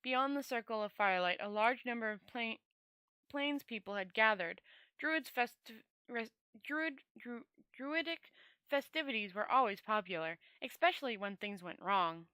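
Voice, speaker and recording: A woman's voice: a little high, lively intonation, normal speed, pushed and bright, clean tone, no room sound. A woman storyteller reading a fantasy novel aloud expressively, often stumbling over words and rereading phrases.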